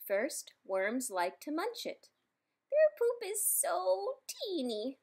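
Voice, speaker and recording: A woman reading a children's picture book aloud, adding playful, expressive asides for young listeners. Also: very high-pitched, slow. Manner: playful, expressive, simple child-directed delivery with exclamations. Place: indoors in a room at home.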